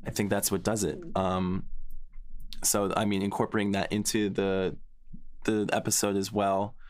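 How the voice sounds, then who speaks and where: A man's voice: fairly low pitch, level tone, moderate pace, darkish tone. A man talking casually and thoughtfully as a writer on a behind-the-scenes podcast, often feeling his way through phrases and repeating words. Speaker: podcast guest, series writer.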